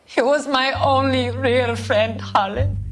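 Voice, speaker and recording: High-voiced bitter club singer. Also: female voice, steady tone, slow pace, music in the background, poor audio. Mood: distraught, accusing. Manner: dramatic, aggrieved. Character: bitter, wounded German female jazz club singer. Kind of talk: scripted game acting.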